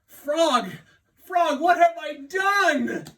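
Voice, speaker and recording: A man voicing an excitable, vain and anxious storybook toad, exclaiming and pleading in drawn-out cries. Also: very high-pitched, recorded from a distance, voice pushed up, exaggerated voice. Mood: panicked, pleading. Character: excitable, vain, anxious male toad, showing off then panicking.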